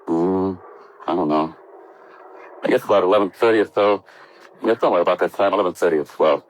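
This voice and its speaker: An actor playing an earnest, troubled young American test pilot, hesitant and subdued in 1950s radio drama style. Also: male voice, low voice, brisk, a little hiss or hum, lightly acted. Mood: subdued, worried.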